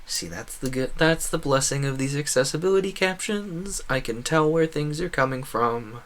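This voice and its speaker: A man's voice: fairly high pitch, normal speed, a bit bright. A man giving casual gameplay commentary as a streamer, thinking aloud in a wordy, meandering way. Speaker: game streamer.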